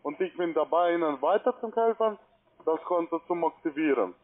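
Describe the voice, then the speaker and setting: Higher-voiced foreign man, tinny phone line. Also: animated. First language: not German. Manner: halting, broken grammar. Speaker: call-center agent, cold caller. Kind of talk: phone sales talk. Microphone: telephone.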